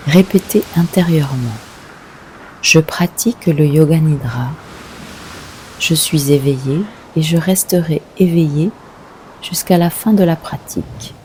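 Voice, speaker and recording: A woman leading a guided yoga nidra meditation, giving gentle, unhurried instructions with long pauses. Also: low-pitched, slight background noise.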